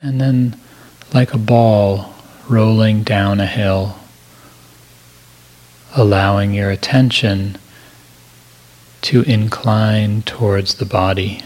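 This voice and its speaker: Meditation teacher, male, lower register, very unhurried delivery, gentle and measured, leaving generous pauses. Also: fairly even intonation, low-quality recording. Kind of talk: guided meditation.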